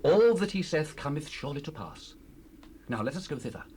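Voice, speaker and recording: A man's voice: a little high, very animated, brisk, a little mellow. An actor playing an earnest manservant advising his master in a dramatized scripture reading, in formal archaic speech. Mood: earnest advice. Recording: hissy 1970s cassette rip.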